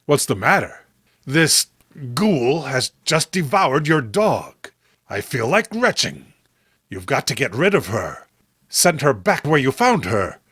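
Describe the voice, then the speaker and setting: Man acting horrified, melodramatic comic-book man, very animated. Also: mid-range voice, takes it slowly, breathy edge, no echo, clean studio sound, heavily acted. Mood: appalled, indignant. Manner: melodramatic, formal, emphatic. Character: horrified, indignant man confronting his deranged friend. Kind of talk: horror comic read-along acting.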